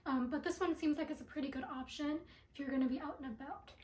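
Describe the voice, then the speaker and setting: A young woman talks through a skincare haul in a casual vlog, filling her sentences with ums and likes. Her voice sits high and is a little raspy from allergies. The sound bounces around an echoey room. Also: fast, darkish tone, slight background noise. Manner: chatty with many ums and likes. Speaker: beauty product reviewer. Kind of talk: casual product review vlog.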